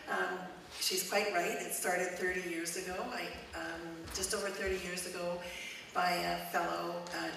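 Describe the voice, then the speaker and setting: Loud-voiced woman in echoey church. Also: on the low side, creaky. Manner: warm, conversational, with frequent ums. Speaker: guest speaker, charity executive director. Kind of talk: informal talk to a church congregation. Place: church hall. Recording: echoey church hall, mic at a distance, noisy.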